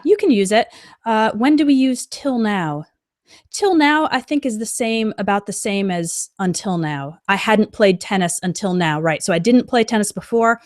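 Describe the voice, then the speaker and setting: A woman teaching an English lesson on a live stream, reading out example sentences slowly and clearly as she answers a chat. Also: mid-range voice, no room sound, clean studio sound.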